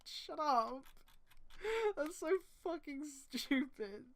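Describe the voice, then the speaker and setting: Girl streamer reading fanfic out loud, sharp and kinda high, sweary exasperated asides. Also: animated, takes it slowly. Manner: exasperated outbursts, often breaking into laughter and shouting. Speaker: streamer reading fan fiction aloud with commentary. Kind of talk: mocking dramatic reading with casual profane asides.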